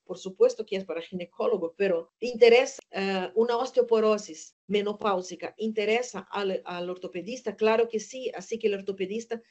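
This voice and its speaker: A woman's voice: mid-range voice, fairly quick, few pauses, darkish tone, highs cut off. Brazilian woman lecturing in a webinar. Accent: Brazilian Portuguese. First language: Portuguese. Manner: earnest, emphatic, asks and answers own questions. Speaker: gynecologist lecturer.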